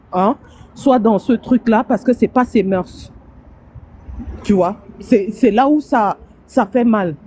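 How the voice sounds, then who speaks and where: A woman's voice: a little high, warm tone, dull audio, slight background noise. A woman calling into a live stream from outdoors on the street, arguing hard and stumbling over repeated words as she makes her point. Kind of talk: heated casual debate.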